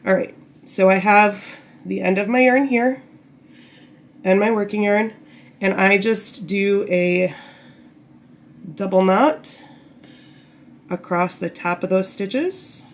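A woman getting over a cold, a bit croaky, patiently explaining knitting steps while working with her hands. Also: mid-range voice, takes it slowly, pauses often, a little hiss or hum. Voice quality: slightly gravelly. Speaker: knitting tutorial host. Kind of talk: casual step-by-step instruction. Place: indoor table, camera over hands.

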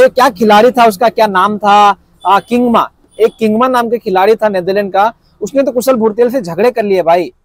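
A young man from India, talking excitedly to camera about cricket with animated, repetitive phrasing and a lapel mic. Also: sits high, dry recording. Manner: animated, repetitive, gestures with hands. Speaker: cricket reaction vlogger. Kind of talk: excited casual commentary to camera. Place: indoors in front of a backdrop screen.

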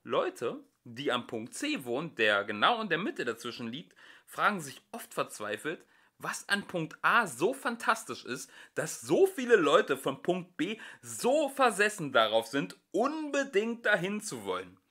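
A young man narrates a story in a homemade audiobook. His voice is bright and fairly high, and his reading bounces with lively, shifting emphasis. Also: measured pace. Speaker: amateur audiobook reader.

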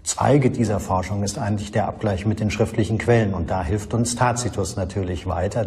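Low, mellow male expert explaining. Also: slight background noise. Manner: deliberate, repeats phrases for emphasis. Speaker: archaeology expert interviewee.

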